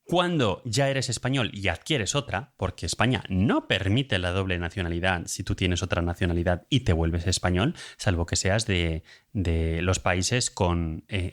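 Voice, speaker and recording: Low-voiced man with a Spain Spanish accent. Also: normal speed. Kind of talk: casual informal explainer monologue.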